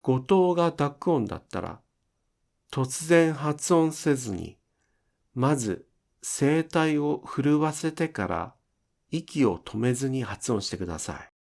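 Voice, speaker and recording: A man teaching pronunciation in an instructional style, enunciating deliberately and carefully for language learners. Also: mid-range voice, big pitch swings, gravelly, a little mellow. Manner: deliberate, careful enunciation with demonstrated example words.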